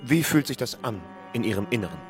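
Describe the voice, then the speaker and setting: An actor playing a calm, probing interviewer who asks attentive, measured questions in a documentary radio play. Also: male voice, medium pitch, soft background music, natural voice.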